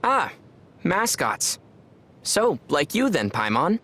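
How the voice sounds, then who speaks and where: An actor playing a calm, dry young male traveler from other worlds in a video game. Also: a little high, slow, a little hiss or hum, exaggerated voice. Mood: wry. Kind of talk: video game dub dialogue. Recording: game audio with soft music behind.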